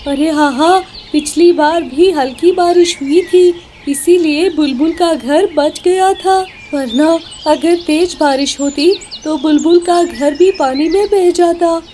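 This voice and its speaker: Woman as a very high, mellow little cartoon bird. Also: takes it slowly, hardly any pauses, a little hiss or hum. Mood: agreeable. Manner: exclamatory, earnest. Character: small, earnest female cartoon bird. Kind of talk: Hindi cartoon story dialogue.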